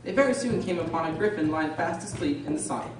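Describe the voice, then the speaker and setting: A woman's voice: low voice, lively intonation, quick pace. A woman narrating a scripted storybook radio drama. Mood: storytelling. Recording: very echoey, distant, faint noise.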